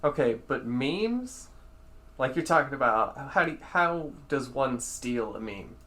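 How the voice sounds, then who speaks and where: A man's voice: medium pitch, very animated, unhurried, pushed and bright. A man hosting a podcast, talking in an easygoing casual way and laughing readily. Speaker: podcast host.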